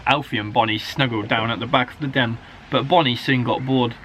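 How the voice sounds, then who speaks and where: A man, a primary school teacher, reading a children's story aloud in an expressive, dramatic way. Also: medium pitch, brisk, a little hiss or hum. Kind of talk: animated children's story narration.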